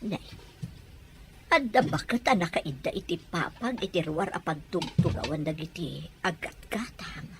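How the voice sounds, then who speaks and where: A woman's voice: on the low side, animated, normal speed, noisy background. A woman playing a blunt, nosy older village storekeeper in an audio drama. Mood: musing. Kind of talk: Ilocano audio drama acting.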